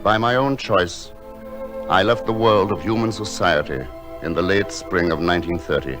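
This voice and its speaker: An actor playing a gentle, misshapen recluse painter, telling his story in formal, poetic, earnest language. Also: low-pitched, moderate pace, natural voice. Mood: grave, reflective. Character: solitary, misshapen painter living in a lighthouse, gentle and wistful. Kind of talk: dramatic narration in an audio drama. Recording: music behind the voice.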